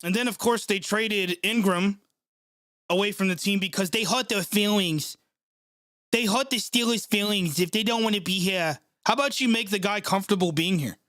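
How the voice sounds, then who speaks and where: A young man reacts live to a game for his stream, his nose stuffed up from a cold. His voice sits fairly high, and he vents with sarcasm and frequent swearing. The sound is dry and close. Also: normal speed, a bit bright, dry recording. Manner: frustrated, sarcastic, swears often. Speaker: sports channel host reacting live. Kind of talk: live play-by-play reaction stream, reading chat.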